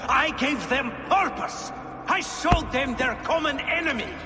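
An actor playing a fanatical, bitter archer commander in a video game, grandiose and declamatory. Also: male voice, extremely high pitch, animated, unhurried, music in the background. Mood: bitter, defiant. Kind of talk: dramatic video game acting. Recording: noisy, long echoing reverb.

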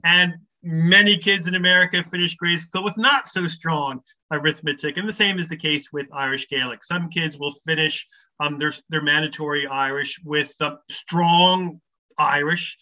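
Bright-voiced scholarly man, lo-fi sound. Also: fairly high pitch, fairly even intonation, normal speed. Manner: scholarly, thoughtful, with frequent ums and restarts. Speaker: professor of English, podcast guest. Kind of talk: relaxed explanatory interview talk. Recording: slightly muffled lo-fi sound.

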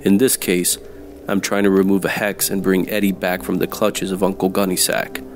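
A man's voice: low voice, flat delivery, moderate pace, slight background noise. A man narrating an audio drama in the style of old-time radio noir.

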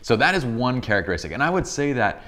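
A man in his thirties with a deep, slightly tired voice, explaining photography basics in a casual studio tutorial. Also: big pitch swings, fast, a bit bright. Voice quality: deep voice, a bit tired from a long day. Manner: enthusiastic, explains with many examples and restarts. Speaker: photography instructor. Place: bright indoor studio set with a sofa.

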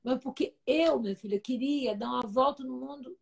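An effusive woman in her sixties from Brazil chats through a laptop webcam, hosting a live interview from her home studio. Her voice sits a little high, and she talks in a lively, gushing way. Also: gravelly, husky. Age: 60s. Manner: effusive, chatty, quick to laugh. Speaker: interview host. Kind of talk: casual live video chat. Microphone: laptop webcam, video call.

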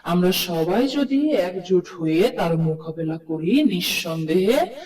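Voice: medium pitch, animated, slow, darkish tone. A performer voicing a brave, earnest cartoon townsman with pleading emphasis, in a distant recording with long reverb. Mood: urgent rallying. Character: brave, earnest townsman rallying his frightened friends. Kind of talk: cartoon story dialogue.

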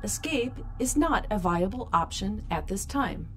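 A woman's voice: mid-range voice, lively intonation, unhurried, music in the background, natural voice. A woman playing a polite, glitchy female robot in an audio drama, formal and salesy, stuttering on words. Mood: polite warning. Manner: formal, stuttering and looping words like a stuck machine.

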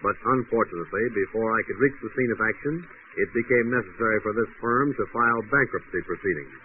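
An actor playing a wordy, self-assured efficiency expert, formal and pompous, in 1920s radio comedy style. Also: male voice, on the low side, fast talker, natural voice. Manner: pompous, formal, long-winded. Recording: tinny, noisy 1920s radio recording.

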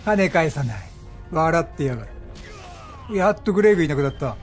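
A man giving chatty, animated gameplay commentary as a gaming streamer, explaining things as he plays and exclaiming at the action. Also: fairly high pitch, a little fast, gravelly, soft background music. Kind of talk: excited game commentary and explanation.

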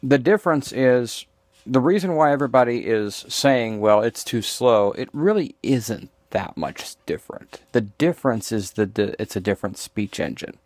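A man talking off the cuff as he explains and demonstrates, in a halting, meandering, opinionated way. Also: mid-range voice, expressive, a little mellow, no room sound. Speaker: vlogger demonstrating screen reader voices. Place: small room indoors. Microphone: handheld phone, later lying on desk.

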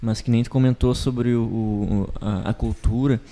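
A man's voice: medium pitch, steady tone, unhurried, warm tone. A young man, talking casually as a podcast host into a close studio mic. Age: young adult. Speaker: podcast host, music teacher. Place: small indoor podcast studio. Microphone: close studio mic on a boom arm.

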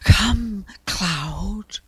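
A woman voicing a huffy female wind spirit in a children's story, with a breathy, sighing delivery. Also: mid-range voice, extremely slow, dry recording, full character voice. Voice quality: breathy, whispery.

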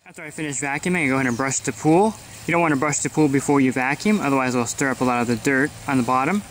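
A man's voice: mid-range voice, racing. A pool service technician talking through his weekly routine in a plain, matter-of-fact instructional voice-over.

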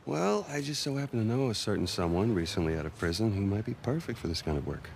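A man's voice: lowish voice, big pitch swings, quick pace, creaky, darkish tone, a little hiss or hum. An American man playing a roguish, wry, middle-aged adventurer and ex-convict in a video game, with a teasing, casual manner. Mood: wry, playful. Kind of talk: video game cutscene acting. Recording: music under the voice.

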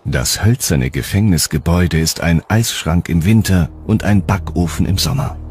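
A man's voice: low-pitched, moderate pace, darkish tone, slight background noise. A man reading scripted narration for a documentary.

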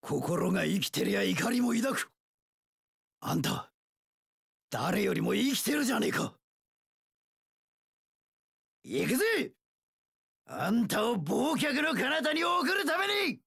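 Brash young pirate boss, gravelly, high-pitched for a man, wildly swinging intonation, rough gang-leader speech, video game. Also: very animated, a bit bright, no room sound, clean studio sound, exaggerated voice. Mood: brash, animated, then fierce, rousing. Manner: rough delinquent-boss speech, drawn-out battle cries. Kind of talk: video game character voice lines.

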